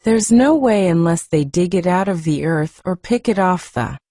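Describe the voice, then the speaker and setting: A woman's voice: fairly low pitch, moderate pace, dark tone, dry recording, studio quality. A woman voicing an exasperated, plain-spoken housekeeper with dialect in a storybook reading. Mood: matter-of-fact. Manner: complaining, dialect-laden.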